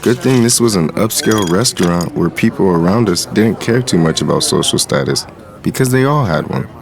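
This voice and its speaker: A man's voice: low-pitched, animated, a little fast, gravelly. A man playing a smitten street hustler, narrating his story in the first person with confident reflection. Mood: smitten, self-assured.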